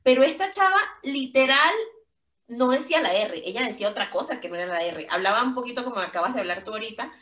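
Bright Venezuelan woman in a roomy space. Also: a little high, animated, fast talker. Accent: Venezuelan, softened. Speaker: podcast co-host. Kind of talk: casual chat.

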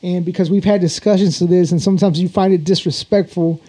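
High-pitched male interviewer. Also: fairly even intonation, fast, mellow. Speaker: interviewer, show host. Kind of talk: casual interview questions.

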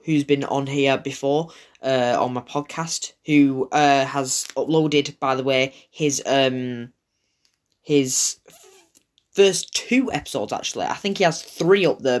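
A man from England with a Wigan accent, hosting his own podcast in a casual, rambling solo monologue. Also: mid-range voice. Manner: rambling and digressive, hesitant. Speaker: podcast host.

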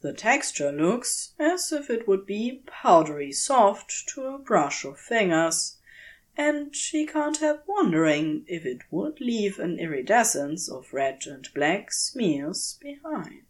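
A woman reading a story aloud as a scripted fiction narration, with measured, expressive storytelling. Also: mid-range voice. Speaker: podfic narrator.

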